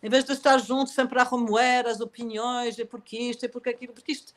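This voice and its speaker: A woman with a fairly high voice talks calmly about poetry and song, then reads gently from her novel. Her speech is reflective and unhurried, and a slight leftover cough from a recent illness still shows. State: lingering cough after illness. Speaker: author. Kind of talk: conversational interview, then reading aloud from her novel.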